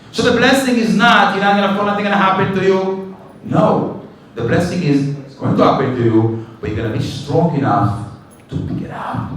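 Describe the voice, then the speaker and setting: A man's voice: mid-range voice, slight background noise. Man teaching Torah in an echoey hall. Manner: animated, jokes with audience, breaks into short chanted tunes. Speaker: rabbi giving a weekly class. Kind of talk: informal Torah class. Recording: echoey hall, mic from a distance.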